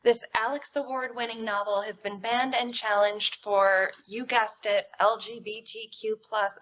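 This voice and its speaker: A woman's voice: fairly high pitch, fairly even intonation, a little slow, fairly bright tone. A woman presenter reading a prepared introduction at a live reading event. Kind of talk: prepared book introduction read aloud. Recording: muffled, noisy live event recording.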